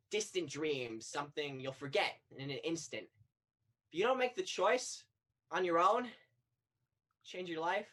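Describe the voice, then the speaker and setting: A young actress plays an earnest spirit guide to the afterlife in a one-act play. The delivery is probing, solemn and urgent, with pitch that moves widely from phrase to phrase. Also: female voice, fairly bright tone. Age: young adult. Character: earnest, insistent afterlife guide questioning the dead. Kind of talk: student one-act stage play acting.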